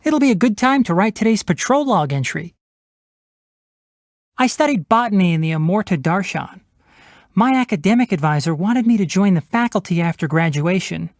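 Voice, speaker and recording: A man's voice: sits high, expressive, normal speed, no echo. A man voicing a young fox-eared forest ranger and botanist in a video game, precise and articulate with a dry, wry manner. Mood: calm, matter-of-fact. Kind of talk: video game character voice lines.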